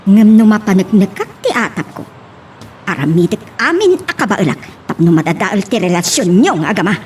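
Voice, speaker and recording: A woman's voice: medium pitch, sing-song, moderate pace, darkish tone, lightly acted. A woman playing an emotional, strong-willed woman in an Ilocano family love drama. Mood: angry, accusing. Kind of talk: Ilocano audio drama acting. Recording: faint background noise.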